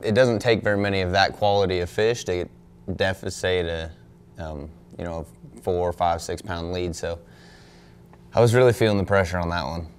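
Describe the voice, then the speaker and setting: A young man, a pro angler, answering questions at a press conference in a relaxed, thoughtful way, full of "you know" and "um". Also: sits low, normal speed, clear, resonant tone. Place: indoor press room in front of a backdrop. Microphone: desk microphone on a stand.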